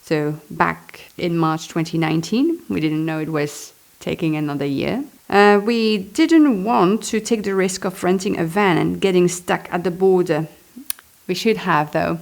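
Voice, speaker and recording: A woman's voice: fairly low pitch, a little slow, creaky. A woman reads her own memoir aloud, expressively, adding chatty, self-deprecating asides. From Belgium. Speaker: author reading and commenting on own memoir.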